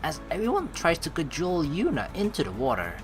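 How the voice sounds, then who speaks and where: A woman's voice: low-pitched, brisk. A woman doing let's play commentary, expressively reading the narration aloud and reacting to it, with game music in the background. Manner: expressive, exclaiming, reacts out loud. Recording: faint game music behind the voice.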